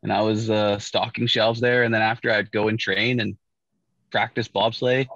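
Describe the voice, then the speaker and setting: A middle-aged man from Saskatchewan, Canada, talks through a video call from a parked car. He tells his story in a relaxed way, restarting sentences often and leaning on fillers. The audio is dull, muffled and close, with no room sound. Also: on the low side, moderate pace, no room sound. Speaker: Olympic bobsledder guest. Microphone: video call, laptop or phone camera.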